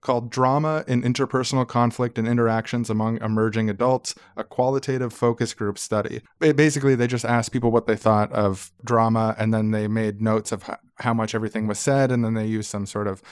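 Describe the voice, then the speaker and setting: A man's voice: on the low side, steady tone, brisk, few pauses, clean tone, dry recording, clean studio sound. A man co-hosting a podcast, explaining things in an informal, conversational way. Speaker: podcast co-host.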